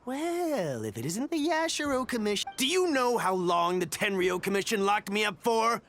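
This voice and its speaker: An actor playing a crooked, smug merchant in a video game, haggling in a theatrical, scornful manner. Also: male voice, sits high, sing-song, normal speed, fairly bright tone, a little hiss or hum, exaggerated voice. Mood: indignant. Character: indignant, pedantic-hating crooked male merchant, scheming and smug. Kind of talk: video game character acting.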